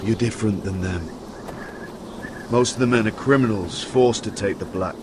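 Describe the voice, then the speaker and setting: An actor as a weary, frank northern ranger. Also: male voice, fairly low pitch, moderate pace, noisy background, lightly acted. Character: seasoned, brotherly ranger of a frozen northern watch. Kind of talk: dramatic video game acting.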